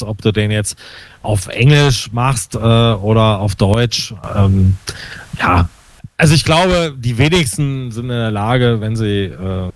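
A man in his fifties from Germany, a musician answering interview questions casually and haltingly, searching for words. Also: fairly low pitch, no room sound. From Hesse, Germany. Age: 50s. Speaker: musician and songwriter being interviewed.

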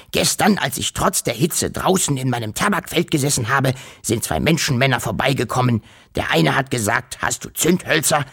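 A man's voice: medium pitch, creaky, sharp tone, breathy edge, full character voice. A man voicing a grumpy, whiny, demanding uncle creature in a children's story, complaining and self-important. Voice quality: grunting.

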